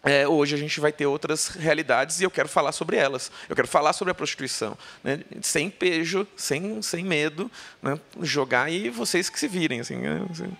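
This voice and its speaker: A woman's voice: low voice, moderate pace, gravelly, hardly any pauses, a bit bright. A woman author talking on a book fair stage before an audience, playful and digressive, often turning to ask the crowd questions. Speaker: author being interviewed. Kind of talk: live conversation on stage.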